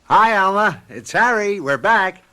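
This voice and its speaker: An American actor playing a wry, impatient suburban husband in a radio drama, talking conversationally with exasperation. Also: male voice, high-pitched, animated, unhurried, pushed and bright, a little hiss or hum, heavily acted. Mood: puzzled, wry.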